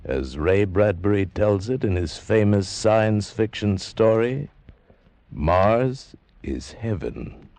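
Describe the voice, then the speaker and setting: An American man as an old-time radio announcer, delivering dramatic introductions in a deliberate, portentous manner. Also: on the low side, animated, vocal fry. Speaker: program announcer.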